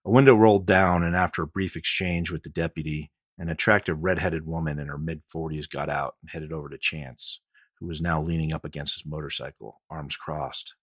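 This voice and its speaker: A man with a husky voice reading scripted audiobook narration as the author of the story. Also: a bass voice, steady tone, a little fast, no echo, clean studio sound.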